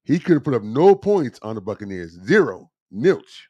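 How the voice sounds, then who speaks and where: A man's voice: medium pitch, sing-song, normal speed, darkish tone, no echo, muffled recording. Man pressing hard in a sports argument. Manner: challenging, interrupts, repeats "listen" and "wait a second". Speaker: co-host. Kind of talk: heated sports debate.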